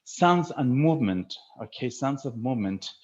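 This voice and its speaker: A man speaking as a teacher trainer in an informal instructional webinar, explaining in an animated way and often checking that listeners follow. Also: medium pitch, moderate pace. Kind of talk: informal instructional presentation with acted demonstrations. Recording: dull lo-fi webinar audio.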